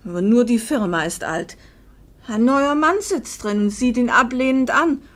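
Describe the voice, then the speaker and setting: A woman's voice: a little high, animated, normal speed, close to an everyday voice. An actress playing a weary, protective older mother in a 1950s German radio drama, earnest, emphatic and pleading. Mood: bitter, impassioned. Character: weary, protective older mother defending her exhausted husband.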